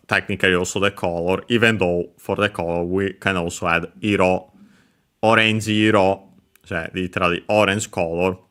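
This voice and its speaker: A man explaining Japanese grammar, pausing often with filler sounds as he works through each word. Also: sits low, pushed and bright. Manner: hesitant, many filler sounds, careful explanation. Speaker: Japanese language teacher. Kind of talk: explaining grammar over gameplay.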